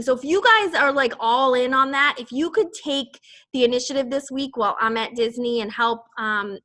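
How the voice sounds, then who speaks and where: Woman, Boston accent, fitness team leader, rambling casual chat, frequent laughs, crisp bright tone. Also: a little high. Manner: chatty and rambling, laughs often.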